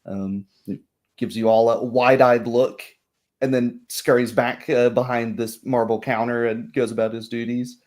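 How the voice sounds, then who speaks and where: A man acting as game master, improvising narration for a tabletop roleplay in hesitant, pausing phrases. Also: medium pitch, little pitch movement, darkish tone.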